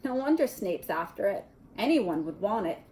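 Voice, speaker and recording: A woman's voice: medium pitch, big pitch swings, moderate pace, warm tone, slight background noise, close to an everyday voice. A woman reading a storybook aloud as an earnest, loyal, eager young schoolboy wizard, speaking emphatically. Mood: intrigued.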